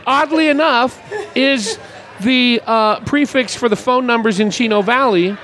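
A man's voice: very high voice, unhurried, a bit bright, a little hiss or hum, rough recording. A man in his fifties hosting a casual chat in a café, joking and rambling through stories. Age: 50s. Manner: jokey, rambling storyteller. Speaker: podcast host.

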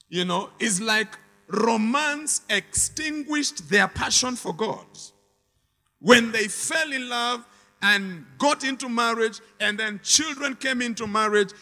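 A man's voice: high voice, sharp tone, big-room sound. A man preaching a sermon with emphatic, repetitive phrasing and call-and-response to the congregation.

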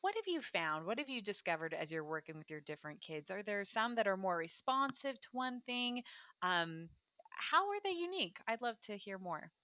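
A woman's voice: mid-range voice, very animated, normal speed, bright, forceful tone, no room sound, highs cut off. A woman hosting an interview, enthusiastic and affirming, laughing often. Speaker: podcast host. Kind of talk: conversational interview.

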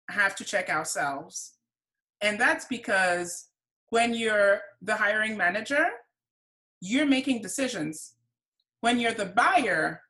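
Female interview guest at a medium pitch, earnest and clear in her explanations. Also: fairly bright tone, rough recording. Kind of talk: conversational interview answers.

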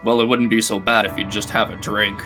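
Man playing a gruff hard-drinking adventurer. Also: lowish voice, little pitch movement, brisk, bright, forceful tone, soft background music. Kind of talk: tabletop role-play in character.

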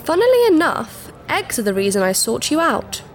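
An actress playing a proud, secretive alien princess in disguise, formal and precise, in a sci-fi audio drama. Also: female voice, high-pitched, very animated, moderate pace, faint music behind. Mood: earnest, businesslike. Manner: formal, precise, earnest, easily affronted.

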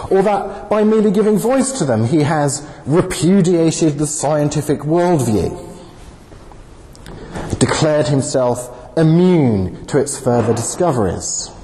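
Male lecturer, slightly croaky, mellow, animated delivery; reverberant hall. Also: on the high side. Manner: animated, wry lecturing with asides. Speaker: guest speaker, philosopher. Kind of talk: academic lecture. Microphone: handheld microphone. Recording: long hall reverb, faint noise.

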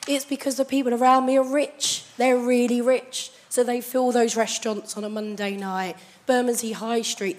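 Hoarse woman, audience questioner, conversational and measured. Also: medium pitch. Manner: chatty, anecdotal, measured. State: croaky, hoarse voice. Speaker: audience member asking the panel. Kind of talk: question from the floor at a conference.

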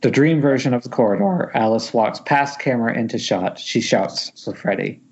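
A man's voice: medium pitch, normal speed, a little mellow, husky. A man reading script narration aloud at a table read, with casual asides. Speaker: stage directions reader. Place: remote group voice call. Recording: muffled internet call audio.

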